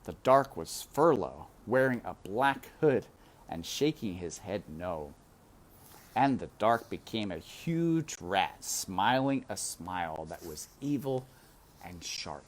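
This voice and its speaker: A man reading a children's story aloud in a dramatic, deliberate manner, addressing the listener directly. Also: fairly low pitch, very animated. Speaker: read-aloud narrator. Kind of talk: expressive storybook read-aloud.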